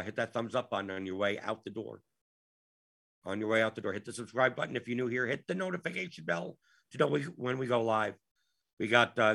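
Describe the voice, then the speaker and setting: A man's voice: fairly low pitch, vocal fry, fairly bright tone. A man hosting a live Q&A stream, his voice worn out, talking in an exasperated way and repeating himself. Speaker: show host.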